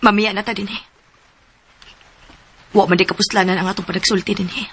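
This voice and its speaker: A woman's voice: mid-range voice, fairly even intonation, brisk, fairly bright tone, slightly rough. An actress playing a distressed young girlfriend in a radio drama, pressing and reproachful, with a Cebuano Filipino accent. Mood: sulking, worried. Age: young adult.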